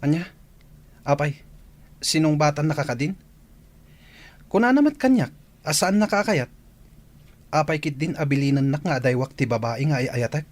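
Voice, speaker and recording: A man's voice: a little high, normal speed, long pauses, lightly acted. A man voicing a confident, defiant young male student who stands up to a rival. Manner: challenging, defiant. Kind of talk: Ilocano radio-drama acting.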